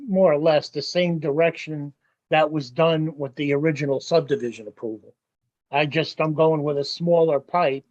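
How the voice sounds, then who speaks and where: A man presenting his site plans to a village board, explaining in a practical, plain-spoken way. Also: fairly high pitch. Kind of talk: explaining at a public meeting. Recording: lo-fi meeting audio.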